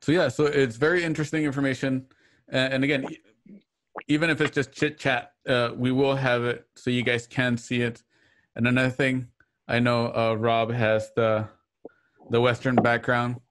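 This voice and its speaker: A man's voice: medium pitch, flat delivery, normal speed, a bit bright. A man hosting an online radio drama show, chatting casually as he signs off on a livestream. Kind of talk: casual livestream sign-off chat. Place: indoors at home on a video call.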